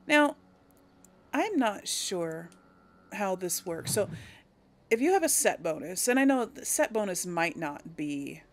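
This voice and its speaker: A woman's voice: mid-range voice, sing-song, a little slow, pauses often. A woman giving casual video game commentary, thinking aloud as she plays. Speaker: video game let's player.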